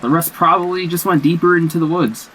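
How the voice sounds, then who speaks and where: An actor playing a cocky, dismissive young slacker with casual, slangy speech in a horror audio drama. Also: male voice, on the high side, steady tone, brisk, heavy background noise, natural voice. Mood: coaxing.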